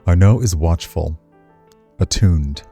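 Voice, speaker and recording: Deep, mellow-voiced man streaming a game. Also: takes it slowly, faint music behind. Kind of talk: reading visual novel text aloud, with casual commentary.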